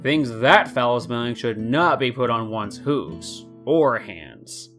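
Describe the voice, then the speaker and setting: A man's voice: medium pitch, expressive, takes it slowly. Man narrating a story over guitar music. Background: soft guitar music.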